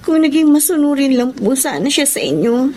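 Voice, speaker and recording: A woman playing a polite, soft-hearted young working daughter in a Filipino drama, respectful and earnest in manner. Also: high-pitched, very animated, moderate pace, slight background noise. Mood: gentle, sorrowful. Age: young adult. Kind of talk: Filipino radio drama acting.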